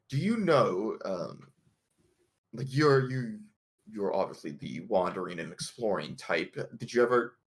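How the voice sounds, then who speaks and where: Low-voiced man with lively, swinging intonation. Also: gravelly. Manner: halting, stammering formal speech. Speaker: tabletop roleplay player. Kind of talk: improvised in-character roleplay.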